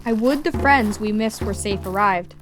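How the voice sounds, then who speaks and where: A woman's voice: medium pitch, moderate pace, music in the background, natural voice. A woman playing a gracious, authoritative young king in an amateur Shakespearean dramatic reading. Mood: concerned. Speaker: amateur reader in library staff dramatic reading.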